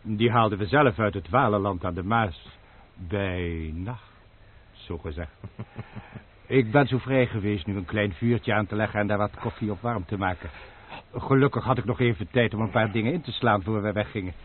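An actor playing a loyal manservant from the Ardennes in an old radio drama, speaking deferentially and protesting earnestly. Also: male voice, on the low side, moderate pace, close to an everyday voice. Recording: tinny old radio play with faint hiss.

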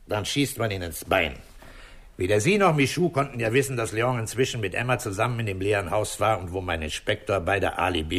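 An actor playing a methodical, calm police commissioner in a 1960s German radio crime drama, deliberate and authoritative as he explains. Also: lowish voice, close to an everyday voice.

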